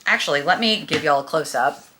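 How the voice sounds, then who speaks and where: A woman's voice: deep voice, lively intonation, fairly quick, pushed and bright, slight background noise. A young adult woman chatting casually and emphatically to camera as a book vlogger, with a gushing manner. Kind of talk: casual chat about books to camera.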